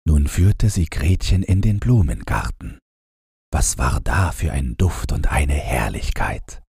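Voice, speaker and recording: A man reads a bedtime fairy tale in a very deep voice with a heavily husky grain. His delivery is slow and calm, like an audiobook made for falling asleep, and the tone is mellow. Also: no echo, clean studio sound. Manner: calm, unhurried storytelling. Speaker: audiobook narrator.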